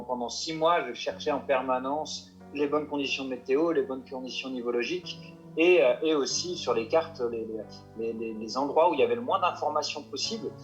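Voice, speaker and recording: A mountain guide answering interview questions, hesitant and full of restarts and fillers. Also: male voice, medium pitch, highs cut off. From Paris suburbs.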